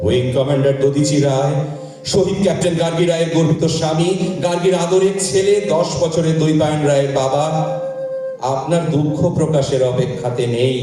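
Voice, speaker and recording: A man's voice: on the high side, warm tone, natural voice. A man acting a grieving, defiant air force wing commander in an audio drama, with a theatrical, biting, rhetorical delivery. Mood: proud, indignant. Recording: very echoey room, distant microphone.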